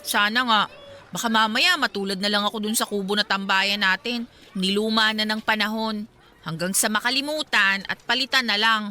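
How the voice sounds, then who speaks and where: A woman's voice: medium pitch, steady tone, normal speed, pushed and bright, close to an everyday voice. An actress playing a young Filipino single woman secretly in love with her friend, bantering casually in a radio drama. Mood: wistful. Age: young adult.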